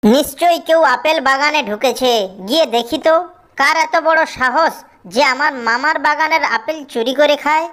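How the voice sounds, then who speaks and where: A man's voice: very high voice, moderate pace, bright, forceful tone, voice pushed up. A man voicing an eager, lovestruck young male cartoon bird, a dutiful nephew with a coaxing manner. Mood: vigilant.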